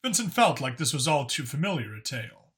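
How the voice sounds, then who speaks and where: Bright-voiced man narrating a story. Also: mid-range voice, big pitch swings, brisk. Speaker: audiobook narrator.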